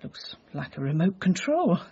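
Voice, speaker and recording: An actress playing a shaken, grieving older widowed mother with a breathy, husky voice in naturalistic radio drama dialogue. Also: on the low side, sing-song, moderate pace, muffled recording, noisy background. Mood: gently dismissive.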